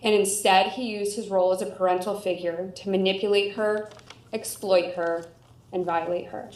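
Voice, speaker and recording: A woman's voice: medium pitch, fairly even intonation, normal speed, darkish tone. Echoey American woman prosecutor, formal. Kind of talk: formal courtroom argument. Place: indoor courtroom. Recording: echoey room sound.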